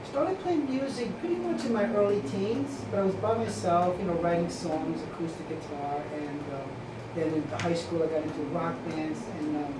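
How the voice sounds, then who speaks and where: A man from Italy with an Italian accent, a guitarist guest telling his story in a relaxed, reflective way. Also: fairly high pitch. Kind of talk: casual chat. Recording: echoey room, distant mic, background noise.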